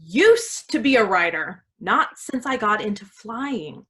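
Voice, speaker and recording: Actress as a defensive, bright-voiced, unhurried woman. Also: medium pitch, big pitch swings. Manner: defensive, emphatic. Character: evasive woman, failed writer turned pilot, indignant. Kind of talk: staged play reading.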